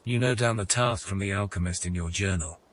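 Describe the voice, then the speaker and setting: A man giving scripted narration for a fantasy audio game in a measured storytelling manner. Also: low-pitched, slight background noise. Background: music.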